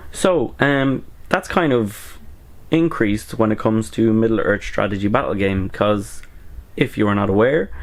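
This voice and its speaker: A young man in a small hobby room, chatting casually to camera with a strained, slightly cracking voice while recovering from bronchitis, rambling with lots of ums and uhs. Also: on the low side. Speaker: miniature painting YouTuber.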